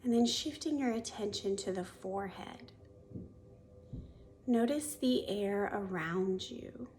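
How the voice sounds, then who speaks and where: A woman yoga teacher leading a guided meditation in a soft, soothing, gently instructive voice that often trails into a breathy near-whisper. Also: medium pitch, takes it slowly, a little hiss or hum.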